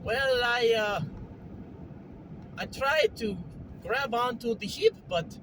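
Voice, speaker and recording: A man's voice: very high voice, slow, pitched above the performer's own voice. A man voicing an eager, naive, forgetful wanderer in a singsong cartoon-plumber style with simple, broken phrasing, recorded with vehicle noise behind. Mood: earnest, innocent.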